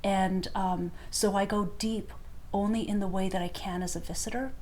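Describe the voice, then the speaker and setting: A woman speaking reflectively in an interview, pausing often with hesitations. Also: mid-range voice, steady tone, a little mellow. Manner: thoughtful, many hesitations.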